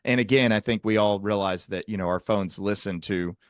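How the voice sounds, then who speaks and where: Low-voiced man, dry close recording. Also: brisk, no echo. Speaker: podcast host, psychiatric provider. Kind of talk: conversational interview.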